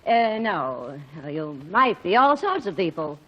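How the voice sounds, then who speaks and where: A woman's voice: fairly low pitch, big pitch swings, slow, exaggerated voice. A woman playing a tipsy, bitter stage actress in a 1940s radio drama, theatrical and sarcastic. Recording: dull old radio broadcast.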